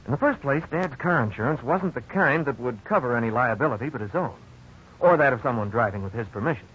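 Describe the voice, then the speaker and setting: Earnest folksy man telling his own past, acted: mid-pitched, very animated, vintage radio narration. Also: fast talker, close to an everyday voice. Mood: reflective, explanatory. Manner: folksy, expressive storytelling. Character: grown-up Vic, earnest man recalling his youthful blunder. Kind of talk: 1950s radio drama first-person narration. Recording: dull, noisy old radio recording.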